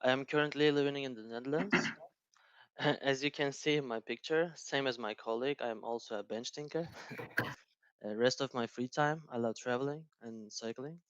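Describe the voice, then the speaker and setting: Brief: man, smooth resonant voice, dry and slightly dull webinar audio, polite intro. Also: mid-range voice, normal speed, no room sound. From Turkey. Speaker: co-presenter. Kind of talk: self-introduction.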